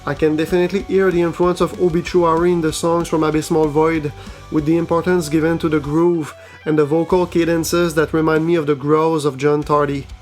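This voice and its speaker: Male host, flat measured read, highish voice, soft guitar music under it. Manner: even, measured reading. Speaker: host of a metal news show. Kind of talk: scripted album-release roundup.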